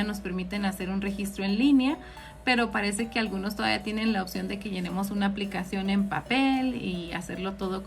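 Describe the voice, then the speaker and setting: A woman with a northern Mexican accent hosting an informal live question-and-answer session, explaining things directly to her listeners. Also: mid-range voice. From Ciudad Juárez, Mexico. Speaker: host, accountant answering audience questions.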